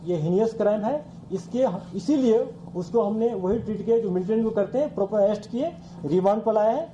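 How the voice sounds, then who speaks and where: A man's voice: sits high, mellow. A man with an Indian accent giving an official press statement as a police chief. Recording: dull audio with faint background noise.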